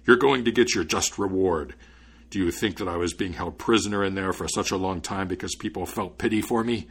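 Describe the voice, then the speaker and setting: A man voicing a huge, boastful spirit with a frightening, forceful, threatening and contemptuous delivery, as in a storybook. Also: sits low, brisk, husky. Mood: menacing, contemptuous. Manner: threatening, imperious.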